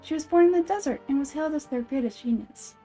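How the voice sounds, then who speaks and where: A woman voicing a gentle, child-like goddess of wisdom in an anime game fan dub, measured, thoughtful and earnest. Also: sits high, faint music behind, natural voice. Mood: solemn, gentle.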